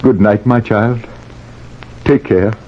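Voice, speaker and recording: A man's voice: fairly low pitch, slow, slight background noise. A man playing a grave, fatalistic father and manor lord in old-time radio horror, pleading solemnly and melodramatically. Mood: anxious urgency. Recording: echoey, reverberant, muffled old radio recording.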